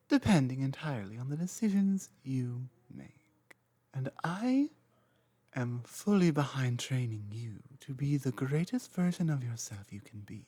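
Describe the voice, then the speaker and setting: An ancient dragon queen, played by a woman, speaks slowly and deliberately in a warm, mellow voice. Her phrasing is formal and commanding, and at times it softens into a whisper. The ASMR recording is dry and close. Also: rumbling low, husky, no room sound, full character voice. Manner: slow, measured, formal speech with soft whispered moments. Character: ancient regal female dragon queen, calm and dominant. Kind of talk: ASMR roleplay audio.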